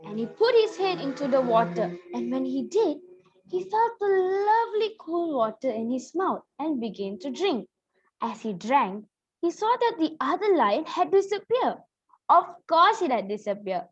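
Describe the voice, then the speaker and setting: A woman's voice: high-pitched, big pitch swings, moderate pace. A young female student narrating a children's story as scripted readers theater. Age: teenager or young adult student. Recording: slightly dull, muffled audio.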